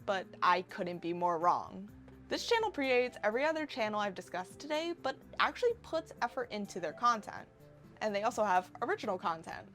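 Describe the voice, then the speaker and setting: A woman in her twenties narrating a casual scripted video essay commentary, wry and given to sarcastic asides. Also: mid-range voice. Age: 20s.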